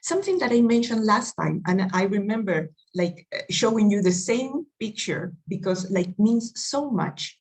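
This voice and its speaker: A woman's voice: mid-range voice, animated, normal speed, hardly any pauses, darkish tone, poor audio. A woman from Peru with a Peruvian Spanish accent, presenting a diversity training session and often checking in with "right?" as she searches for her phrasing. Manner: earnest, searching phrasing with tag questions like "right?". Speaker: workshop presenter.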